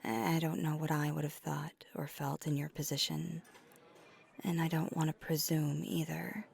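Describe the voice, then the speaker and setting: A woman playing a tender, flirty vampire in an ASMR roleplay, speaking in a breathy, often whispered voice with earnest, hesitant pauses. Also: low-pitched, flat delivery, darkish tone, heavily acted. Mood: hesitant, sincere. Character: flirty, tender female vampire confessing to a date. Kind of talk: soft ASMR roleplay, intimate confession.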